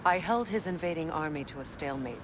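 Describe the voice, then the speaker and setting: A proud warrior goddess queen, measured and commanding. The voice sits a little low for a woman. A steady noise bed runs under the game audio. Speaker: video game character.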